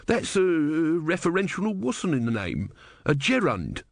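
A man voicing a long-winded old know-it-all who drones on and cannot be stopped. The voice is slightly raised and unhurried. It is heard dry and close. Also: fairly high pitch, huge pitch swings, gravelly, dry recording, voice pushed up, full character voice. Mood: pedantic. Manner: boring, unstoppable drone.

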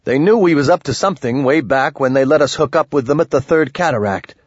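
An irreverent, plain-spoken male adventurer acted by a man reading an audiobook, pitched higher with a husky edge. He talks in loose, folksy slang, recorded dry and close. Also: fast talker, dry recording, dull audio. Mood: wry, casual. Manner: colloquial, slangy, loose grammar.

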